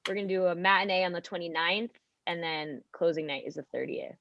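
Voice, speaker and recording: A young adult podcast guest chatting casually, rambling with lots of "like" and restarts. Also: female voice, on the low side, fast talker, creaky, no room sound. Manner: rambling, heavy use of "like". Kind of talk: casual chat. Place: college radio studio. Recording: dull lo-fi dry sound.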